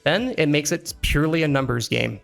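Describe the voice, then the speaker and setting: A man narrating a software tutorial in an explanatory, conversational way, as an instructor walking through settings. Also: a little high, expressive, brisk, vocal fry. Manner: explanatory, conversational, asks and answers own questions. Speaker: instructor, engineering executive. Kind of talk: software tutorial narration over screen recording. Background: background music.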